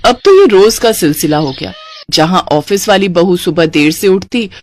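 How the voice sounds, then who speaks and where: A woman's voice: fairly low pitch, animated, moderate pace, darkish tone. A woman narrating a scripted cartoon story as the storyteller.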